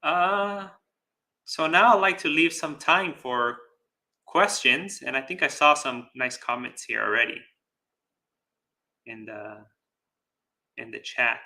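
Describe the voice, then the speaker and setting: A man with a very bright, forceful voice at a middle pitch gives a Buddhist dharma talk. He speaks deliberately, pausing and repeating phrases for emphasis, and often checks in with a short rhetorical question. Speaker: Buddhist monk and teacher.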